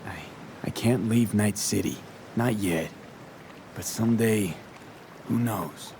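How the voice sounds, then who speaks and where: A man's voice: fairly low pitch, slow pace, background noise. A man playing a brooding but sincere streetwise mercenary in a video game, speaking in a casual, clipped, earnest way. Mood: disillusioned. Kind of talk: naturalistic video game voice acting.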